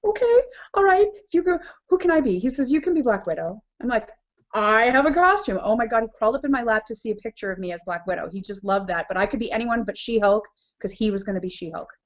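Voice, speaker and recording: Brief: chatty co-host woman, slightly high voice, dull lo-fi webcam sound, wry and giggly. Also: expressive, fast. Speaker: podcast co-host. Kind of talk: casual chat. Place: living room with a couch. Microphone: webcam on a video call. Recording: dull lo-fi video call audio.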